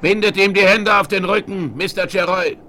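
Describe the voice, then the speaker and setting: A man's voice: sits high, normal speed, bright, forceful tone. A man playing a commanding, ironic frontier hero in a German radio play, with an authoritative manner. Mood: triumphant, ironic. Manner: authoritative, crisp orders. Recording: faintly noisy 1970s vinyl rip.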